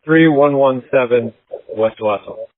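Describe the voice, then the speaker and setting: A man's voice: medium pitch, measured pace, dry recording. A male police officer speaking in terse radio traffic over a tinny narrowband transmission.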